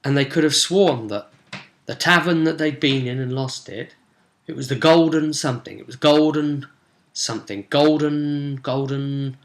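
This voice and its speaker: A man's voice: fairly high pitch, a little slow. A man chattily recapping a tabletop role-playing session as its game master, telling it like an informal story. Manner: chatty, storytelling, acting out brief bits of dialogue. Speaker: game master and vidcast host. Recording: roomy, echoing sound.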